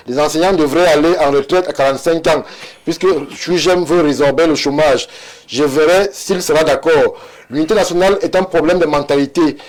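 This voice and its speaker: A man hosting a studio debate, reading viewer messages aloud in a measured way and handing the floor to guests. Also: a little high.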